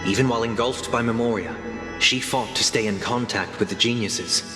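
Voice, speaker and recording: A man's voice: mid-range voice, normal speed, natural voice. A man playing a courteous, solemn, priestly young character in a video game, formal and earnest. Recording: echoey hall reverb, music behind.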